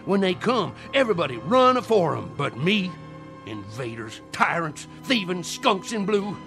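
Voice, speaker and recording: A man's voice: sits high, very animated, normal speed, vocal fry, fairly bright tone, faint music behind, exaggerated voice. An actor playing a fervent old Southern conjure man in a radio play, with a folksy, theatrical rustic way of talking. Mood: fierce, boastful. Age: older. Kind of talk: dramatic radio play acting.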